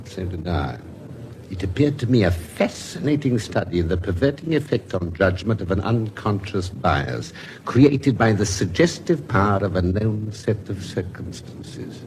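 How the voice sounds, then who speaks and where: Deep-toned, scholarly doctor. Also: male voice, sing-song, a little slow, darkish tone. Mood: dryly analytical. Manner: pedantic, scholarly, precise. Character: erudite, dryly analytical male doctor of forensic learning. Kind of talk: radio drama acting. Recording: clear background ambience noise.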